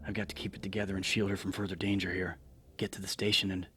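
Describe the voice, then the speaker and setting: A man's voice: deep voice, flat delivery, fast talker, a bit bright. A man playing an anxious but determined young rookie policeman, terse and urgent, in an audio horror drama. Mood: anxious inner thoughts.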